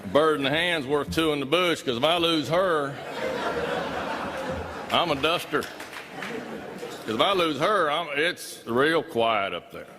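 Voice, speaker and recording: A man's voice: fairly high pitch, lively intonation, takes it slowly, long pauses, crowd noise, background noise. A man tells his own story as a live talk, in a folksy, emphatic way. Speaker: speaker recounting his own experience. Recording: reverberant hall.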